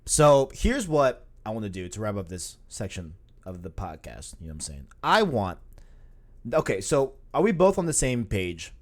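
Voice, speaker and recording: A man's voice: on the low side, normal speed. A man in his early twenties, hosting a casual podcast and rambling with lots of filler. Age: 20s. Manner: rambling, animated, repeats "you know what I'm saying". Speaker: podcast host.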